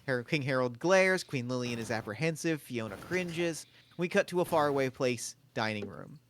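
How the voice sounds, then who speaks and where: A man's voice: mid-range voice, animated, normal speed, slight background noise. A man narrating scripted stage directions at a comedic table read.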